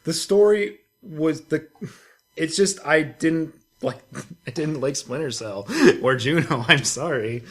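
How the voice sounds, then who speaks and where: A man's voice: on the high side, slow pace. A young man chatting casually as a podcast co-host, blunt and opinionated, swearing freely. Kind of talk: casual gaming podcast chat.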